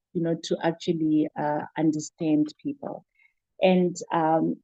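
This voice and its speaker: A middle-aged woman with a Zimbabwean accent answers interview questions reflectively, often pausing and repeating words as she goes. Her voice is fairly low and very mellow. The recording sounds dull and muffled. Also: no echo. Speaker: interview guest, child protection worker.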